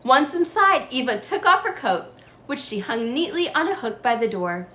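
A young woman reading a children's story aloud with expressive, sing-song emphasis. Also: sits high, normal speed, echoey room.

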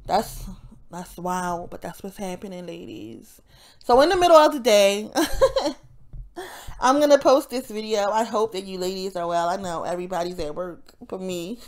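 A woman's voice: mid-range voice, lively intonation, measured pace. A woman with a nasal, congested voice talking in a casual, rambling monologue, swearing often and breaking off into laughs. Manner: profane, rambling, frequent self-interrupting laughs. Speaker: vlogger.

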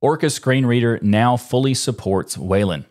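A man's voice: medium pitch, normal speed, a little mellow. A man in his thirties presenting a casual scripted tech explainer to camera, conversational with chatty personal asides, on a close studio mic. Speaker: tech video host. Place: small indoor home studio. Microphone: close broadcast mic on boom arm.

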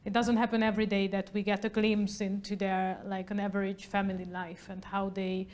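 A young woman interviewing a guest in a small indoor studio on a lapel mic, chatty with many fillers, trailing off softly at the ends of her lines. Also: mid-range voice, level tone, fairly quick. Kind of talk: festival interview.

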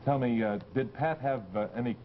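A man's voice: medium pitch, fairly quick, natural voice. A man in his thirties playing a polite, earnest young man carefully probing for information in a vintage television drama, speaking hesitantly. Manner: hesitant, courteous, many uhs. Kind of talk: 1950s television drama acting. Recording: dull, noisy old TV soundtrack.

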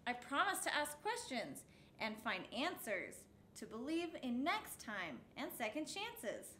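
A woman in her thirties reading a picture book aloud for children's storytime, phrase by deliberate phrase, in a roomy indoor space. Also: on the high side, huge pitch swings, bright, forceful tone. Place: indoor set with backdrop, seated. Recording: roomy echo, faint background noise.

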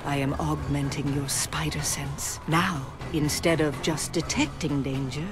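An actress playing a grave, formal older psychic guide in a video game. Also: female voice, low voice, fairly even intonation, unhurried. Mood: instructive. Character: solemn older female psychic mystic guide. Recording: loud music behind the voice.

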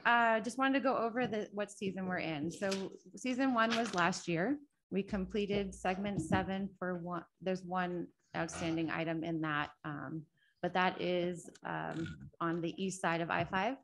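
A woman project manager giving a project update at a city council meeting, soft-spoken and hesitant with frequent ums, speaking into a table microphone in a council chamber. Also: fairly low pitch, slight background noise. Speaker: state transportation project manager. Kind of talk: public meeting presentation.

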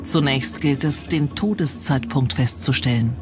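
A woman's voice: rumbling low, a little slow. A woman reading scripted true crime documentary narration as the narrator. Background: prominent music.

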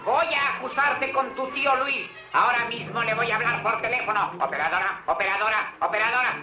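A man's voice: extremely high pitch, fairly quick. A man playing a cocky, wisecracking trickster rabbit in a Latin American Spanish cartoon dub, with a brash, mock-dramatic delivery. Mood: cheeky, indignant. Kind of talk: theatrical cartoon dub acting. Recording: dull old cartoon soundtrack, roomy, music.

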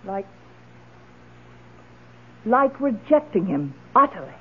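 An actress playing a weary, tart older widow in an old-time radio drama, dry and resolute. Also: female voice, medium pitch, very animated, slow pace, slightly rough, full character voice. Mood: confiding, resolute. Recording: muffled old radio broadcast.

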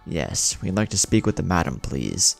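A calm, sly kunoichi on a covert mission, read by a male storyteller in a low register. The lines are sweet and reassuring, with gravel in the tone and soft music behind. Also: normal speed, full character voice. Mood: polite. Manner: calm, instructing, softly sweet.

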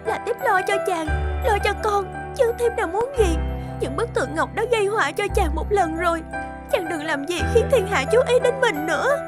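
A woman playing an anxious, devoted young wife in a folktale cartoon, with a gentle, pleading manner. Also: extremely high pitch, lively intonation, normal speed, over loud music. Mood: worried, pleading.

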